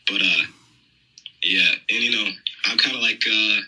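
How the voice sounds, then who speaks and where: A man's voice: on the low side, measured pace, background noise. A young American man calling in from his phone, talking hesitantly with many fillers. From United States. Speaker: caller. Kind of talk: phone-in conversation.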